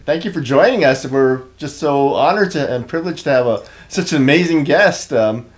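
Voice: mid-range voice, animated, moderate pace. An interview host asking questions hesitantly, often restarting. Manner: hesitant, many fillers and restarts.

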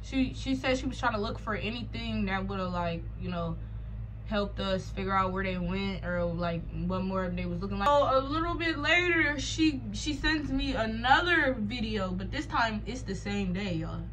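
A young American woman telling a personal story in a casual, slangy, animated way with sarcastic asides, recorded with noise around her. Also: mid-range voice, normal speed.

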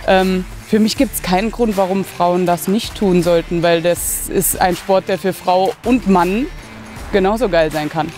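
A woman's voice: lowish voice, unhurried, darkish tone, faint music behind. A woman in her thirties who plays amateur football, answering interview questions in a firm, plain-spoken way on an outdoor field at night. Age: 30s.

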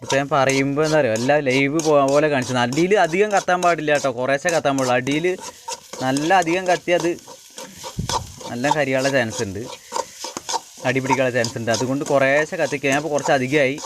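A man from Kerala talks viewers through his home cooking in a casual, rambling way, circling back over his phrases. His voice sits at a middling pitch. Pans clatter and click noticeably behind him in a homemade recording. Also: fast, noisy background. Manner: rambling, repeats phrases as he works. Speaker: home cook and vlogger. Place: home cooking spot with wood fire.

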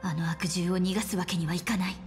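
Actress: composed woman general, low, crisp commands, music faint behind. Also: steady tone, lightly acted. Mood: firm. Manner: firm, brisk orders. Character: decisive woman commander, calm and resolute. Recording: game audio with faint music.

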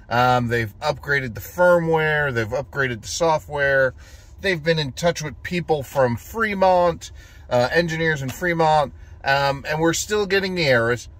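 A man's voice: medium pitch, dry recording. A middle-aged man vlogging casually about a road trip, easygoing and rambling with fillers, filmed on a phone at arm's length in a car and outdoors. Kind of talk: casual vlog narration. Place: inside a car and outdoors at charging stations. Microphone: phone camera at arm's length.

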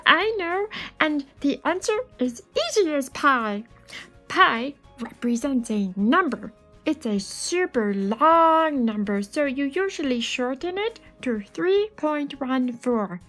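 A woman's voice: sits high, lively intonation, slow, fairly bright tone, faint music behind, heavily acted. A woman voicing a friendly, enthusiastic space alien who patiently explains math in a picture book read-aloud. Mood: upbeat, explaining.

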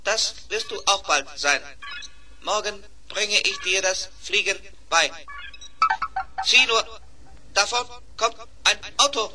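A man playing a friendly little robot pilot in a children's radio play, calm and matter-of-fact in clipped phrases. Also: high voice, takes it slowly, long pauses, far from the mic, faint music behind, heavily acted. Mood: calm, confident. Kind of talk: 1970s German children's radio play acting.

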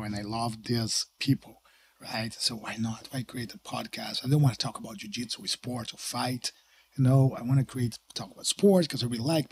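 Brazilian-accented podcast host, husky voice. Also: male voice, medium pitch, dry recording. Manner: emphatic, non-native grammar, frequent "right?" tags. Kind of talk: interview host.

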